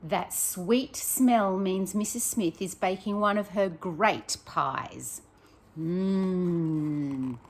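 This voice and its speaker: A woman, a primary teacher reading a picture book aloud to young children, expressive and encouraging, with chatty questions to her listeners. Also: on the low side, drawn-out words.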